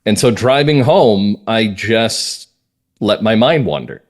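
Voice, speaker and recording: Male interview guest, American, lowish voice, measured phrases with firm emphasis, conversational delivery. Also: animated. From northern Massachusetts, US. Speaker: guest playwright and comedy writer.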